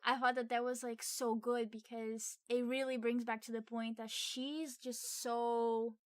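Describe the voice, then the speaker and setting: A woman's voice: fairly high pitch, level tone, unhurried, no echo, studio quality. A woman co-hosting a film review podcast in casual chat, giggly and gushing in manner.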